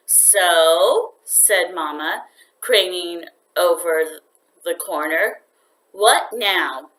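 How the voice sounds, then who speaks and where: A woman reading a children's story aloud like a teacher, with expressive, deliberate narration. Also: medium pitch, low-quality recording.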